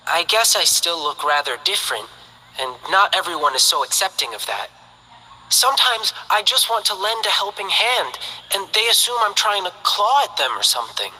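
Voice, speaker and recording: An earnest young lion dancer with an upbeat, friendly manner. His husky voice is very bright and fairly high, with a lively melody. The game audio carries a long, lingering reverb. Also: exaggerated voice. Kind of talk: game character voice lines.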